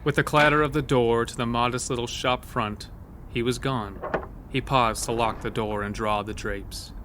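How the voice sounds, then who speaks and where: A man's voice: mid-range voice, steady tone, normal speed, noisy background. A man narrating a scripted horror story as the storyteller of an audio drama. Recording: music bed under the voice. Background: loud music.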